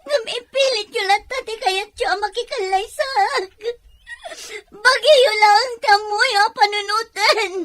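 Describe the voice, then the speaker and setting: A woman's voice: extremely high pitch, a little slow, fairly bright tone. A woman playing an anguished, defiant young daughter in an audio drama, pleading and emotional. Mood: tearful protest.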